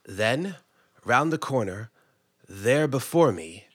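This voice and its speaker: A man's voice: mid-range voice, expressive, slow pace, fairly bright tone. A man reading a comic story aloud with theatrical, dramatic delivery, acting out characters as he goes. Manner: theatrical, acts out characters, breaks into chuckles. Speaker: story reader, performer. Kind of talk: dramatic comic audiobook narration.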